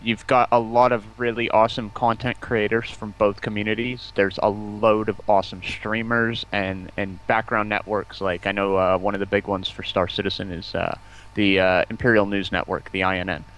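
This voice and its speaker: A man talking casually as a livestream guest, in a halting, stop-start way. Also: lowish voice, little pitch movement, a bit bright, rough recording. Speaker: guest, community manager. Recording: faint background noise.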